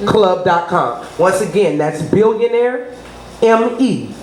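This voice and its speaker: A woman's voice: medium pitch, expressive, slow pace, darkish tone. A middle-aged woman from New Orleans, a business consultant talking up her services as an interview guest, rambling from point to point with name-drops and asides about God. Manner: rambling, salesy, name-dropping, religious asides. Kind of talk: promotional interview talk. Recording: echoey room, faint noise.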